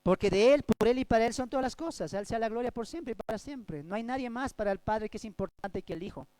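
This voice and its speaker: A man from Bolivia, preaching a Bible study sermon as a pastor, swinging between loud and soft delivery. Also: extremely high pitch, fast, clean tone, dry recording.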